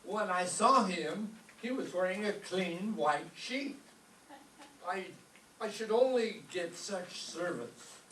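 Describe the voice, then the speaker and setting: A man's voice: high-pitched, takes it slowly, a bit bright. A man playing a melodramatic, put-upon old maestro who wisecracks sardonically in an old-time radio comedy. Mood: wry. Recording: echoey room, distant mic, faint noise.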